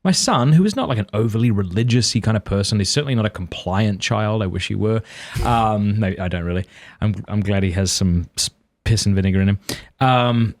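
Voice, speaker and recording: A podcast host in his thirties with a lowish voice, talking in a loose, candid way and poking fun at himself. The sound is close and dry, with no room echo. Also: brisk. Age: 30s. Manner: rambling, candid, self-deprecating. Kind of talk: casual conversational chat.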